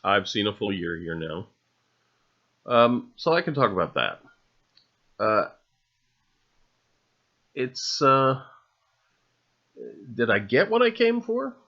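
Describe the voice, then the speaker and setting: A man narrating an unscripted, rambling voice-over in a halting, musing way, with dry, understated humor. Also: medium pitch, big pitch swings. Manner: halting, musing delivery with long trailing phrases. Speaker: video narrator. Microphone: separately recorded voice-over. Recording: dull, lo-fi voice recording.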